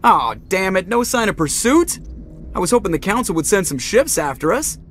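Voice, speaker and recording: A man's voice: sits high, sing-song, normal speed, a bit bright, slight background noise. An actor voicing a cocky, wisecracking male starship pilot in a video game, wry and sardonic. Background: game music.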